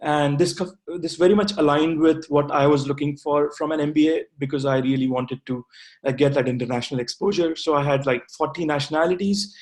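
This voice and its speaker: A man, speaking as an MBA graduate in a webinar, pausing often on "uh" and restarting phrases. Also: on the high side, steady tone, a little mellow. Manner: hesitant, with frequent "uh" fillers and restarts. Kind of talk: webinar talk answering questions.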